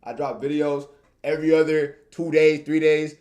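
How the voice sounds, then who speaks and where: A man's voice: fairly high pitch, flat delivery, moderate pace, darkish tone. A young man from Tanzania chatting casually on a livestream in informal slang, repeating phrases for emphasis. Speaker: streamer and video creator.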